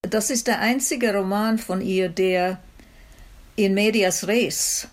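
A woman literary scholar explaining in an interview, halting and searching for words, often repeating phrases. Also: medium pitch, steady tone.

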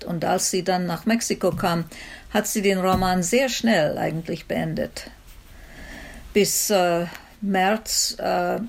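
A woman scholar explains her subject in an unscripted interview. Her delivery is very slow and halting, with repeated phrases and noticeable vocal fry. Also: mid-range voice.

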